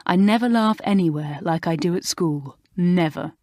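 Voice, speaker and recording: A woman voicing a calm, soft-spoken schoolgirl in a low-key way, close to her own voice. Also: moderate pace, dry recording, natural voice. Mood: musing. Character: cheerful head girl type, calm schoolgirl.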